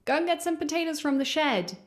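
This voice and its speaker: A woman's voice: high voice, fairly quick, lightly acted. A woman voicing a busy mother in a manga dub, giving motherly instructions. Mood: nagging. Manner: directive, motherly.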